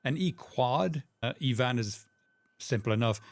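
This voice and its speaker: A man's voice: medium pitch, lively intonation, unhurried, a little hiss or hum. A man hosting a podcast, asking his guest conversational follow-up questions. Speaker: podcast host. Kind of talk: podcast interviewing.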